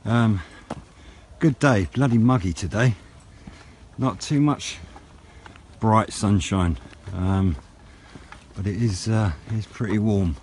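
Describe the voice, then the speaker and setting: British male hobby vlogger, lowish voice, unhurried and low-key, recorded outside over clear background noise and vehicles. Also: darkish tone. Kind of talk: casual outdoor vlog chat. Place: outdoors on wooded high ground by a road. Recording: outdoor noise with passing vehicles.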